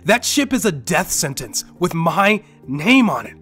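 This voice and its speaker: A man playing a bitter, despairing survivor of a dying planet in an audio drama, profane, dramatic and halting. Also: a little high, big pitch swings, fairly bright tone. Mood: anguished rage.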